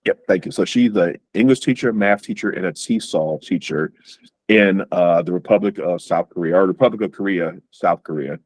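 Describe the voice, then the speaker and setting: A man's voice: low-pitched, normal speed, darkish tone, no echo. A man hosting an interview over a video call, speaking casually with many fillers and repeated words. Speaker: host.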